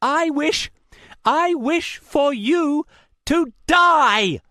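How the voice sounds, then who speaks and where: A man's voice: expressive, drawn-out words, sharp tone, no room sound, clean studio sound, heavily acted. A man voicing a crazed man who rants in short broken phrases and clutches a cursed charm. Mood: menacing. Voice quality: shrill, ranting in broken phrases.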